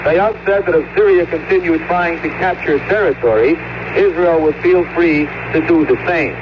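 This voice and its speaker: A man's voice: on the high side, moderate pace. A man giving a scripted 1970s radio report as a foreign correspondent. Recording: muffled noisy radio correspondent feed.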